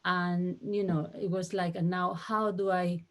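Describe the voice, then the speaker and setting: A woman from Spain with a Spanish accent, a native Spanish speaker, explaining her work in an informal panel discussion, often pausing to search for words. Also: on the low side, level tone. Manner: halting, searching for words, frequent "you know". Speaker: translator.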